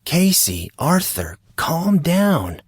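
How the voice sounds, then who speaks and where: A man playing a guarded, awkward and sarcastic teenage boy in an audio drama, answering evasively and a little haltingly. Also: a little high, big pitch swings, slightly rough, dry recording, studio quality, exaggerated voice. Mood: dry sarcasm. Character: guarded, sarcastic fourteen-year-old boy, awkward and exasperated. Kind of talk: audio drama character dialogue.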